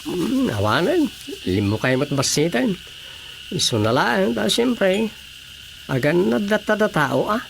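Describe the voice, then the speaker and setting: A man acting a dry, argumentative village man in a radio comedy drama, with an Ilocano Filipino accent and a gravelly voice. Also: high-pitched, big pitch swings, unhurried, slight background noise, full character voice. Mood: matter-of-fact.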